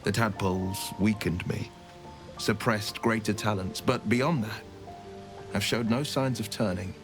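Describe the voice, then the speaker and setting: An actor voicing a confident, wry male fighter companion in a video game, measured and reassuring. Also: lowish voice, music in the background. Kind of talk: video game cutscene dialogue.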